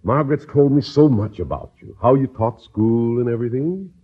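A man's voice: mid-range voice, moderate pace, breathy edge, narrow, tinny audio, close to an everyday voice. An actor playing a genteel, effusive and easily flustered male suitor in a 1950s American radio sitcom. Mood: gushing. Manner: effusive, hesitant when flustered.

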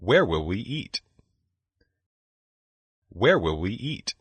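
A man reading short phrases for language learners, saying each one twice in a slow, deliberate way. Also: on the low side, sing-song, fairly bright tone, slightly rough, no echo. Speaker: language lesson speaker.

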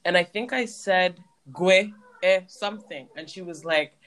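A woman's voice: on the low side, unhurried, fairly bright tone. Woman cohost, thoughtful storytelling, lots of um and like. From Canada. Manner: reflective, uses many fillers like um and like. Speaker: podcast co-host. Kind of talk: personal storytelling in casual chat.

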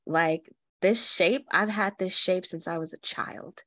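A young adult woman co-hosting a podcast in casual chat, animated and laughing often, speaking from the belly in a feminine voice. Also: on the low side, measured pace, no room sound. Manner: animated, laughs often, many fillers and restarts. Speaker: podcast co-host.

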